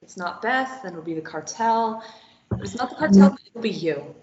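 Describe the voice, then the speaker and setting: A woman's voice: mid-range voice, expressive, normal speed, darkish tone, slight background noise, lightly acted. A woman reading a sardonic, probing young captive at a play table read, teasing and wry. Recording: echoey room, muffled lo-fi.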